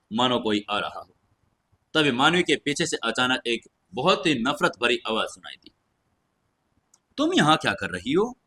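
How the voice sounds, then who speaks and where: A man's voice: mid-range voice, sharp tone, husky. A man narrating a fantasy audio story from a script.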